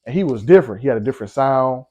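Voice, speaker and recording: A young man from Milwaukee, a rapper guest in a casual interview, telling stories in a relaxed way with lots of slang and fillers. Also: very fast. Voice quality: deep voice. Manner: laid-back storytelling with slang and frequent fillers.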